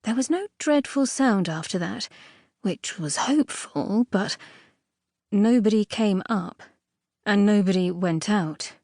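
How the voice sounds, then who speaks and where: A woman narrates a fairy tale in a very dry, close studio recording. Her voice sits at an average female pitch. She reads expressively and a touch archly, leaning on key words and pausing for drama. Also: dry recording. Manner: expressive, with dramatic emphasis and pauses. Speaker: audiobook narrator.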